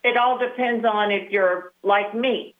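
A woman's voice: medium pitch, unhurried. Woman in her sixties on a tinny phone line. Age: 60s. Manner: matter-of-fact, explanatory. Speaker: guest Medicare advisor. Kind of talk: phone-in interview.

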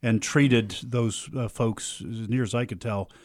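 A man's voice: medium pitch, fairly even intonation, measured pace, a little mellow, no room sound, clean studio sound. A man co-hosting a radio talk show, speaking earnestly with frequent stumbling restarts. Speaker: radio co-host.

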